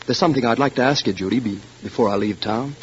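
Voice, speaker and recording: Male actor as condescending literary suitor, brisk talker, 1940s radio drama, dull hissy recording. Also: medium pitch, natural voice. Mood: earnest, romantic. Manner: pompous, wordy, condescending. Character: pompous, smooth-talking male intellectual writer courting a young woman.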